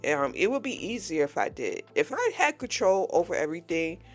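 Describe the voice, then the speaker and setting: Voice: mid-range voice, big pitch swings, brisk, faint music behind. A podcast host in their early forties giving a candid, blunt personal reflection, talking openly about frustration. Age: 40s.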